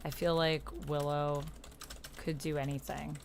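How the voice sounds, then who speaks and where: A woman's voice: low-pitched, flat delivery, unhurried, gravelly, darkish tone, slight background noise. A woman in her thirties chatting informally and playfully as she streams, reading out typed roleplay and reacting to it. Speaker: streamer and player. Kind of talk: casual livestream chat, reading typed roleplay aloud.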